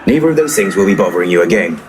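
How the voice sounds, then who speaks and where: An actor playing a pompous, eccentric paranormal investigator, formal and deadpan, in a comic audio play. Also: male voice, deep voice, very fast, background noise. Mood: breezy. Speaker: actor in an audio comedy drama. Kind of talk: audio play dialogue, dry comedy.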